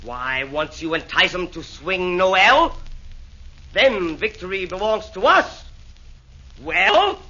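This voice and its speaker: A fussy Nero talks with affected, connoisseur airs in a high, very bright voice. The sound is dull and lo-fi, like an old radio recording. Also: male voice, animated, slow, full character voice. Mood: scheming. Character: Nero, fussy music-snob demon. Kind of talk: 1940s radio acting.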